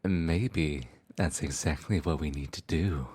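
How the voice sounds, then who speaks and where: A man acts out a scheming trickster god in a fantasy audio drama. His voice is deep and a touch rough, recorded dry and close, with lively swoops as he teases with unhurried, theatrical politeness. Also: no echo, heavily acted. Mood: sly, persuasive. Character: sly, teasing trickster god, smooth-talking schemer. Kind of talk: audio roleplay acting.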